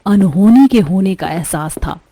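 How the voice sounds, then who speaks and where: A woman's voice: medium pitch, animated, unhurried, dark tone, a little hiss or hum. A woman reading scripted narration for a horror story.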